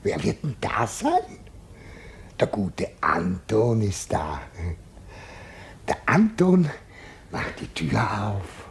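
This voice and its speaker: An actor in a German radio play voicing a simple, good-hearted jailer, coaxing and fussy, with colloquial talk that moves between hushed murmurs and exclamations. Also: male voice, lowish voice, huge pitch swings, drawn-out words, gravelly, long pauses, slight background noise, heavily acted. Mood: coaxing, confiding.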